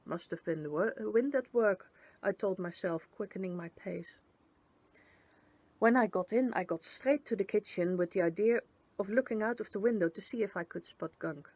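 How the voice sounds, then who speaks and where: A woman narrating a first-person storybook tale in an expressive read-aloud manner. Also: mid-range voice, dry recording. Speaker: story reader.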